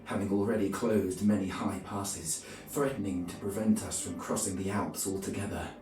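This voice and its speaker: An actor playing a grave, pious medieval crusader who narrates his campaign journal in a solemn, formal, measured way. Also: deep voice, steady tone, echoey room, distant mic, lightly acted. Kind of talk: scripted dramatic narration. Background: music.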